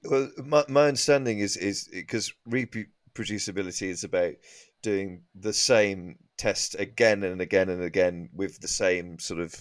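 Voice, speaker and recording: Deep voiced guy on a comedy panel, earnest rant, repeats himself for emphasis, very dry sound. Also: moderate pace, no room sound. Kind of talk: comic opinion in panel chat.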